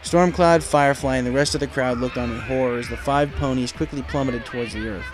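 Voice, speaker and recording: A man's voice: medium pitch, fairly quick, low-quality recording. A man reading a story aloud as dramatic scripted narration. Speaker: fan fiction reader. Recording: music under the voice.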